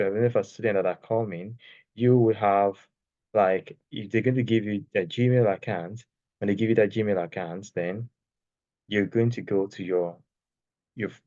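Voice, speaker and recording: A soft-spoken man teaching a casual online class while sharing his screen, talking loosely and checking in with "okay?". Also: on the low side, dry recording, muffled recording. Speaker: course creation instructor. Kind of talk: screen-share tutorial, casual. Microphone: external microphone.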